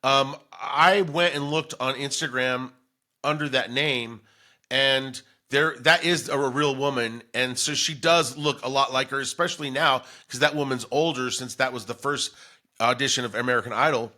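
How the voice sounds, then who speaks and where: Bright-voiced man, chatty podcast storyteller. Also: mid-range voice, steady tone, normal speed. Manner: rambling storytelling, acting out bits of dialogue. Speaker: podcast co-host. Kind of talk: casual comedic chat.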